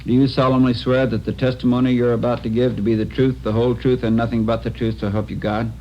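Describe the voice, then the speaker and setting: An actor playing a dry, official coroner questioning in a formal, impersonal way in a 1950s radio drama. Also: male voice, medium pitch, little pitch movement, quick pace, close to an everyday voice. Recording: muffled, noisy old radio recording.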